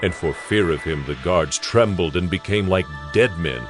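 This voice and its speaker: Very deep male scripture narrator over music. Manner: measured, solemn storytelling. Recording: music behind the voice.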